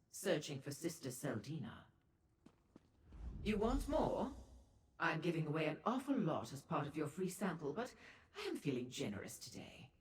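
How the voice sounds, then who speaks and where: Echo-drenched, low-voiced seductive demon merchant. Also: female voice. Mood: coy, teasing. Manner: theatrical, coy, languid purring delivery with ornate formal phrasing. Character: seductive female dark seducer Daedra merchant, worldly and condescending. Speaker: information broker and proprietor. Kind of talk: video game character dialogue. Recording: echoey hall-like reverb on the voice.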